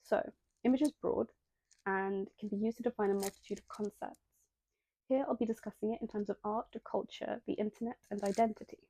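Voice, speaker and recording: A young woman hosts a video essay in a low-lit, mellow voice with a gravelly edge. She sounds a little nasal and reads her script steadily, then slips into self-deprecating asides. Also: steady tone, fairly quick, poor audio. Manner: self-deprecating, breaks into apologetic tangents.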